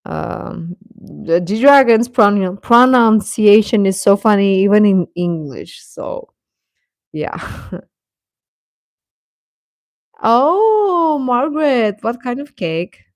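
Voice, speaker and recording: A woman's voice: mid-range voice, expressive, slow, long pauses, dry recording, clean studio sound. A woman chatting casually as a livestream host, talking directly to viewers and often repeating her phrases.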